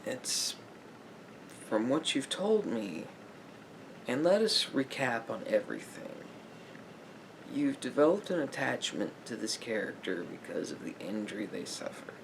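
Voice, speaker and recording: A man speaking softly as a therapist in an ASMR roleplay, in a measured, halting, explanatory way. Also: medium pitch, sing-song, noisy background.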